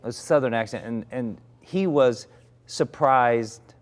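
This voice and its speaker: A man's voice: medium pitch, slow pace. Reflective man with frequent restarts. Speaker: actor, interview guest. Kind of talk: candid conversation.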